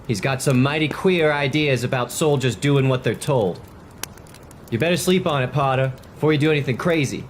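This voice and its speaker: A man's voice: medium pitch, moderate pace, a little hiss or hum, natural voice. A man playing a hard-nosed, by-the-book cavalry soldier in a historical drama, admonishing in a folksy, matter-of-fact way. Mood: warning.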